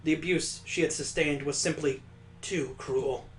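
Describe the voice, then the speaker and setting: A man's voice: on the high side, fairly even intonation, measured pace, reverberant, a little hiss or hum. A man reading a visual novel aloud, mixing dramatic narration with sarcastic comic asides. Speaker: let's play host.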